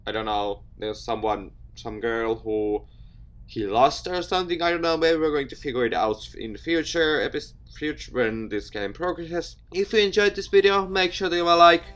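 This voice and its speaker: A man doing casual let's play commentary and reading visual novel text aloud, with an expressive delivery. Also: fairly high pitch, normal speed. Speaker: gaming video host.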